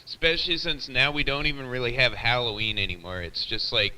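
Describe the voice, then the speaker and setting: A man's voice: mid-range voice, brisk, bright, forceful tone, smooth. A man chatting casually over CB radio in a plain-spoken, slightly grumbling way, with a distant, hissy sound. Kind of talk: casual chat. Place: on a CB radio live feed.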